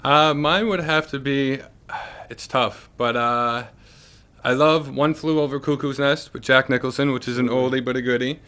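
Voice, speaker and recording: A man's voice: mid-range voice, normal speed, clear, resonant tone. A man co-hosting a public access TV show, chatting casually about sports and movies. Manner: relaxed, chatty. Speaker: co-host.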